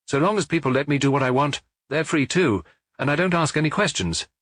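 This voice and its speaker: A hard, unsentimental middle-aged woman, as a male reader puts her on, answering in a raised, lilting voice with a husky edge. She is plainly unbothered, matter-of-fact, and shrugs off whatever she is asked, in a dry-sounding audiobook reading. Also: a little high, animated, brisk, a bit bright, no echo, voice pushed up. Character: blunt unsentimental middle-aged woman, hairdressing shop owner.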